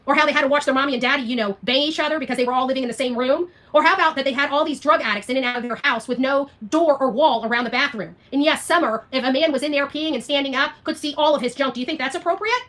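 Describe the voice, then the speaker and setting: Fast, high-pitched, combative woman livestreamer. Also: a bit bright. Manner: combative, emphatic, repeats phrases and rhetorical questions. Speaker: livestream host responding to viewer comments. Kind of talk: unscripted livestream rant, argumentative.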